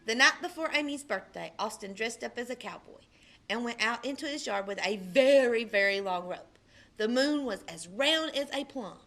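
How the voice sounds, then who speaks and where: A woman, a librarian, reading a children's picture book aloud with expressive delivery. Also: on the high side, normal speed. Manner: expressive, puts on character voices in quotes. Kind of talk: storybook read-aloud.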